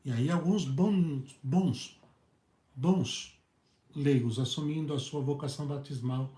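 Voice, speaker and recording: A hoarse male priest with a Brazilian Portuguese accent, preaching earnestly in halting phrases and often repeating his words. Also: on the high side, warm tone. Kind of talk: preached talk on a video channel. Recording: echoey room.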